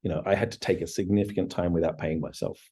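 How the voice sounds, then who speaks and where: A man in his forties talks through his experience as a founder. His voice is husky and low. He pauses often, piling up fillers and restarting sentences as he reflects. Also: fairly even intonation, dark tone. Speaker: startup CEO guest. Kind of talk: interview answers.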